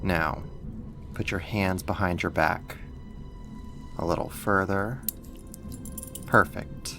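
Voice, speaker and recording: A man's voice: low voice, steady tone, creaky, over music, heavily acted. A man playing a sadistic, mocking villain and kidnapper in an ASMR roleplay, with a controlling, condescending manner. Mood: taunting, controlling. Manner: slow, taunting, controlling, condescending.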